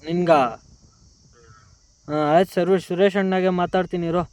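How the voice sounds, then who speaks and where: High, mellow-voiced confrontational young man. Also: little pitch movement, moderate pace, clear, resonant tone, dry recording. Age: 20s. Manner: confrontational, interrogating. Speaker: prank caller posing as an angry boyfriend. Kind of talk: casual phone confrontation.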